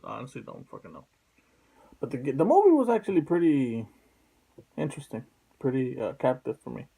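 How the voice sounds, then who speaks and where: Man, mid-range voice, casual vlog host, blunt, profane, halting, rambling. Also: mid-range voice, huge pitch swings, vocal fry, mellow, husky. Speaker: video opinion host.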